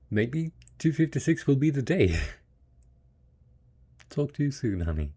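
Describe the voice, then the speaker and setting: A man acting as a devoted, hopeful survivor in an intimate audio roleplay, talking tenderly and coaxingly to a spouse, with a husky, gravelly voice. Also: on the high side, very animated, slow, dark tone, full character voice. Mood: excited.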